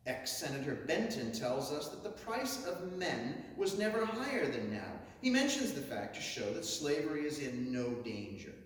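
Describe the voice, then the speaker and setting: Male orator, higher-pitched and swooping, for a declamatory 19th-century speech with dramatic pauses. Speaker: orator reciting a historic speech. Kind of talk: theatrical 19th-century abolitionist oration. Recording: very echoey hall, distant from mic.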